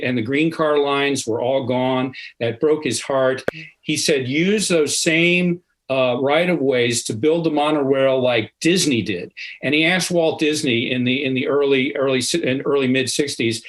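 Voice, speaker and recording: An older man speaking as an academic panelist on a video call, explaining in an emphatic storytelling way. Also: medium pitch. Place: indoor home study, video call.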